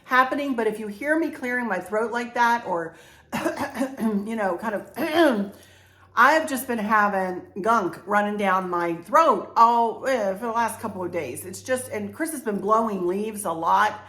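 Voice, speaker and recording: Older woman, mid pitch, congested, bubbly direct-to-camera vlog delivery, roomy echo. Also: a little slow. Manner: bubbly, talks straight to viewers, warm fillers. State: congested, clearing throat. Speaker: home decor vlogger. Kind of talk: casual chatty vlog. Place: home interior room. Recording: echoey room.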